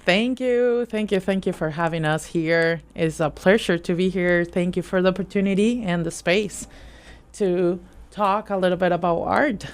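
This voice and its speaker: A woman's voice: on the low side, normal speed, clear, resonant tone. A woman from Venezuela, a guest on an interview who talks hesitantly and often restarts her sentences. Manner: hesitant, searching for words, many restarts. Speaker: guest, nonprofit art director. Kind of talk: casual interview talk.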